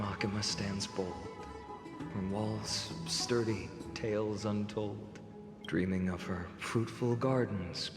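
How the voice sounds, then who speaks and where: A man voicing an easygoing, poetic young warrior in a game cutscene, with theatrical, gently teasing delivery. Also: lowish voice. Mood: lyrical. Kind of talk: video game cutscene acting. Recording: echoey long reverb, game music behind.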